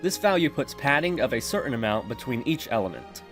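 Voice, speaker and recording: A man narrating a software tutorial in an instructional, step-by-step manner. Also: mid-range voice, big pitch swings, fairly quick, soft background music. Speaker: tutorial presenter.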